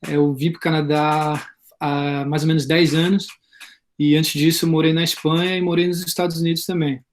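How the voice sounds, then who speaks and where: A friendly man in his thirties from Brazil, his voice pitched a bit high, introducing himself over a video call. He talks easily and without fuss. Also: level tone, normal speed, a little hiss or hum. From Brazil, Florianópolis. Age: 30s. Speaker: guest teacher and sports psychology consultant. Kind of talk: casual self-introduction.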